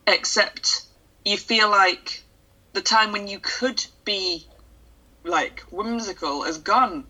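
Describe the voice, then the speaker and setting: Woman, thirties, bright clear voice, thoughtful, musing. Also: medium pitch, slow, low-quality recording. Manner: thoughtful, muses out loud. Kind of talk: casual podcast chat about a film.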